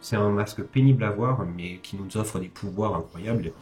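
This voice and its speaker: Low-voiced man commentating over music. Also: moderate pace, darkish tone. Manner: hesitant, self-correcting, informal asides. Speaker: video game commentator, host. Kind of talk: casual speedrun commentary, explanatory. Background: background music.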